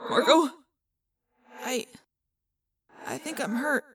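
Woman voicing a high, panicky wounded teenage boy. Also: expressive, measured pace, dry recording, studio quality. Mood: pained.

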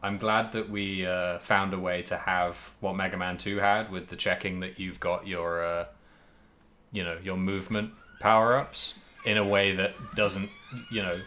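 Male podcast co-host, lower voice, casual comedic game chat, rambling and self-correcting. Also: little pitch movement, unhurried, bright, forceful tone, a little hiss or hum.

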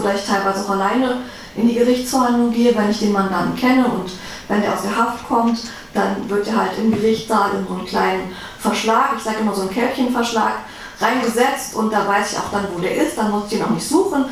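A woman's voice: medium pitch, brisk. A woman guest speaker talking about her own work as a defense lawyer, recorded in a reverberant room. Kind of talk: personal talk to a live audience. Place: reverberant indoor event room. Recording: echoey room, distant mic, faint noise.

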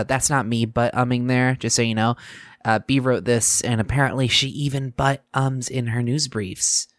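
An actress playing a sardonic, reluctant young student hosting a news show in an audio drama, rambling and exasperated. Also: female voice, a bass voice, no echo, clean studio sound. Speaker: podcast news host character.

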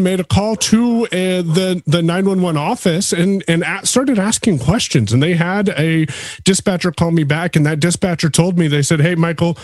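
A man on a panel discussion, telling stories in a relaxed way with many restarts. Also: medium pitch, no room sound. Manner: storytelling, frequent restarts. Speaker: podcast editor and guest.

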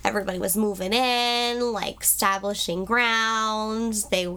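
A woman's voice: medium pitch, steady tone, measured pace, bright, forceful tone, clear, resonant tone. A woman co-hosting a podcast, nasal and a bit congested from a cold, chatting and telling history in a bubbly, self-deprecating way. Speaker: podcast co-host. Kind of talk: casual chat, telling history.

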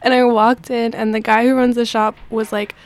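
A woman's voice: a little high, flat delivery, moderate pace, no room sound. A woman casually telling a personal anecdote in a gushing, affectionate way. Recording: clear background noise.

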